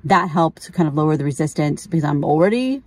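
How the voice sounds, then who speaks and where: A woman's voice: low-pitched, animated, a little fast, darkish tone. A middle-aged woman chatting casually as a vlogger, informal and candid, often thinking aloud. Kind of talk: casual chat.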